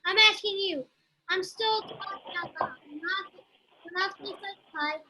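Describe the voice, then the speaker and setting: A woman playing a high, combative young sitcom character who rambles and stumbles at her friends in a script read. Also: normal speed, noisy background. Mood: combative, rambling. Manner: rambling, stumbling, self-congratulating.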